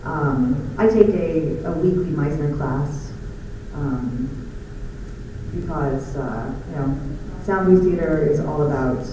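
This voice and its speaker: A woman's voice: low-pitched, slow pace. A woman giving an informal conference talk as an audiobook narrator, chatty, scattered and self-deprecating. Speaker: audiobook narrator and director at an audio theater company. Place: large conference room indoors. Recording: echoey room, distant dull mic, clear noise.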